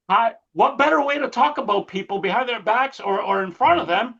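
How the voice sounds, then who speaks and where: A man's voice: very high voice, moderate pace, gravelly, a bit bright, dull audio. A man giving his opinions in a casual podcast chat, with hedges and fillers. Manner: opinionated, hedging, many fillers. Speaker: podcast host.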